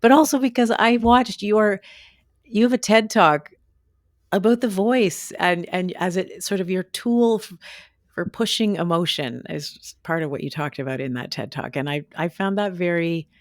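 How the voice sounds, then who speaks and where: A woman hosts a podcast interview, her voice strained and unable to reach its usual range. She speaks thoughtfully, with frequent pauses and restarts, in a lowish register, recorded close and dry. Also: no room sound. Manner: thoughtful, halting, many restarts. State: voice limited and strained after surgery. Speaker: podcast and radio host. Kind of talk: conversational interview.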